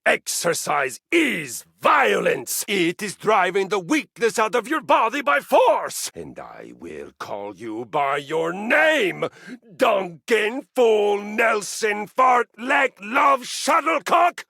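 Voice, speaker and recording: Boastful Viking brute, gravelly bellow, wild swings in pitch, slow and heavy, dry studio. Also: male voice, huge pitch swings, sharp tone, no room sound, full character voice. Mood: bellowing warlike. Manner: grandiose, formal speech. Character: boastful Viking weight rack, brutal. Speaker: dating game character. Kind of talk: video game voice acting.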